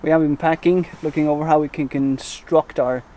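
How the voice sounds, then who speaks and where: A man in his forties vlogging casually to a handheld camera outdoors and in a van, relaxed and rambling with many fillers and restarts. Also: on the high side, moderate pace, a little hiss or hum, low-quality recording. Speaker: travel vlogger. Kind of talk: casual vlog narration to camera. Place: outdoors in a parking lot and inside a van. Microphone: handheld camera at arm's length.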